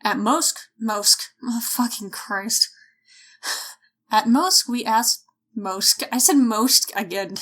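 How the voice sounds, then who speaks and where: A woman's voice: fairly high pitch, takes it slowly, a bit bright. A woman reading a scripted announcement as a voice-over, foul-mouthed and self-mocking, often stumbling over her lines. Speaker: voice-over reader for a casting call. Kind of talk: scripted announcement with blooper outtakes.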